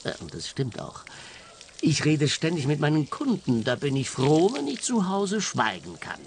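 A man's voice: on the high side, very animated, normal speed, a little hiss or hum. A man with a Swiss accent acting a resigned middle-aged husband in a radio crime comedy, matter-of-fact and world-weary.

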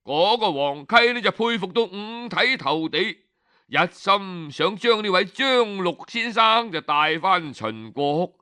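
A man from telling a story in an expressive, colloquial oral storytelling style, drawing out his phrase endings. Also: high voice, a little slow, clear, resonant tone. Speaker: storyteller, audiobook narrator. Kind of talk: traditional Cantonese oral storytelling narration.

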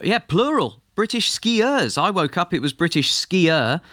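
A brisk man from the United Kingdom presents sports news on breakfast radio, with a lightly wry touch between the results. His voice is dry and close, with almost no room sound. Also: high-pitched, sing-song, a bit bright, dry recording. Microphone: studio microphone.

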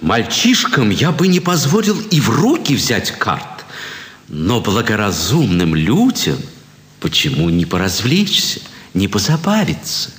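A worldly, matter-of-fact gambler. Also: male voice, lowish voice, huge pitch swings, fairly bright tone, heavily acted. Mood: reasoning. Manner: measured, matter-of-fact reasoning. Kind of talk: classic stage radio play. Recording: echoey hall on a stage.

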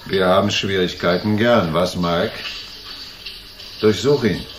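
A man's voice: low voice, takes it slowly, reverberant, background noise. A male actor playing a drunken, belligerent bodyguard in a radio crime drama, taunting and bullying. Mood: drunken menace. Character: drunken, belligerent bodyguard thug, menacing and mocking.

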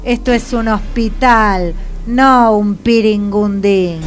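An actress with an Argentine accent playing an indignant, righteous hospital doctor with a formal, preachy manner in a comic radio drama. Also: female voice, medium pitch, fairly even intonation, slow, faint music behind, close to an everyday voice. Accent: Argentine Spanish.

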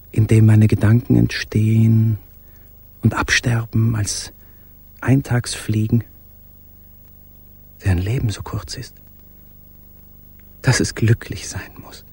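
An actor playing a brooding, tender musician in a literary radio drama, speaking in an intimate, measured way with a husky voice. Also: male voice, fairly low pitch, flat delivery, a little mellow, exaggerated voice. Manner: intimate, measured, sometimes dropping to a whisper. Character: brooding, tender male musician writing to his beloved. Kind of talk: literary radio drama monologue with recited verse.

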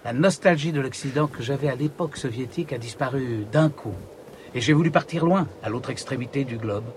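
A man's voice: medium pitch, brisk, slight background noise, lightly acted. Earnest, nostalgic man of the polar wastes acted. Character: nostalgic, idealistic Siberian-born male polar researcher. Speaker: actor in radio drama. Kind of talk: naturalistic French radio drama acting.

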